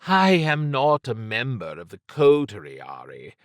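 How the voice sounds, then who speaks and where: A man voicing a worldly, lazily amused woman thief who speaks in formal, measured phrases. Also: mid-range voice, wildly swooping pitch, no room sound, studio quality, pitched above the performer's own voice. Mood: grave.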